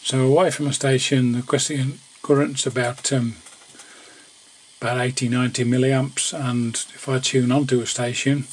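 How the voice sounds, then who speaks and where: A middle-aged man with a slightly husky voice chats casually and hesitantly as he presents his electronics hobby in an unscripted way. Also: medium pitch, level tone, moderate pace, slight background noise. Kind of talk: casual demonstration and chat to camera. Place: small home workshop indoors.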